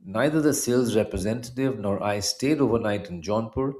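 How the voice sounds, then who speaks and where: A middle-aged man from India with an Indian accent and Punjabi as his mother tongue, narrating a scripted personal essay in measured, formal phrasing. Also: lowish voice, darkish tone.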